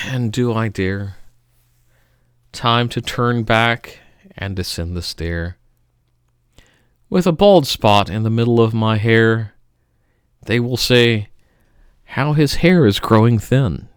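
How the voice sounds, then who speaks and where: A man reads poetry in a slow, melodramatic style. His clean, resonant voice swells on key phrases and drops to hushed endings, with dramatic pauses between them. The sound is close and dry. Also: mid-range voice, animated, clean tone, dry recording.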